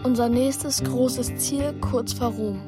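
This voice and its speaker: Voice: mid-range voice, steady tone, slow pace, music in the background, natural voice. A performer playing a sensitive, hot-tempered boy who narrates and acts in a children's radio play with youthful earnestness. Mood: narrating.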